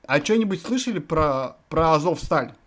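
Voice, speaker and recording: A man's voice: a little high, animated, normal speed. A man from Russia vlogging from random video chats, thinking aloud to his viewers in a rambling, hesitant way full of filler words.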